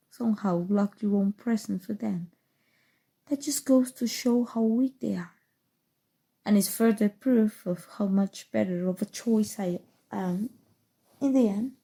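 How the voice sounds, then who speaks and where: A woman playing a calm, seductive, obsessive evil sorcerer in an intimate, coaxing ASMR roleplay, with dramatic pauses. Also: mid-range voice, gravelly, husky, exaggerated voice. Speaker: voice actor. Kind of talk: ASMR roleplay, scripted acting.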